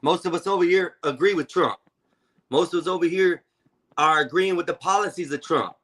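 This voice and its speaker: Guy in his 40s hosting a stream, blunt, swears a lot, kinda higher voice. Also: fairly quick, fairly bright tone. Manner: blunt and confrontational, swears freely. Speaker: stream host. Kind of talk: heated live-stream panel talk.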